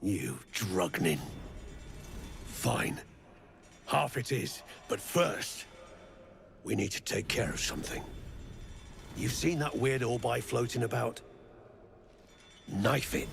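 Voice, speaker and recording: A man playing a gruff, greedy, scheming grey dwarf mercenary in a video game, with a gravelly, coarse and menacing delivery. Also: mid-range voice, animated, takes it slowly, pauses often, faint music behind, heavily acted. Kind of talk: video game character acting.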